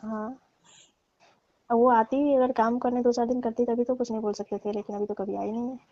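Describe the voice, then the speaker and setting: Woman, deferential and pleading, higher-pitched, fairly even delivery, private call with muffled sound. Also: brisk. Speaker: domestic worker on a call with employer. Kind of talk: private phone conversation. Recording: muffled, roomy phone call recording.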